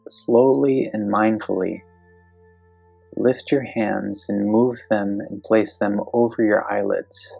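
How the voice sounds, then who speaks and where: Low, creaky-voiced meditation guide. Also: fairly even intonation, faint music behind. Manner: slow, gentle, instructional.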